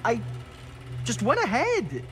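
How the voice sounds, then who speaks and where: Shifty woman in a video game, sheepish and stammering, pitch jumps around, bit of noise behind. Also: huge pitch swings, measured pace. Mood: nervous, excusing. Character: shifty, evasive woman caught in a secret deal. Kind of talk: naturalistic video game cutscene acting.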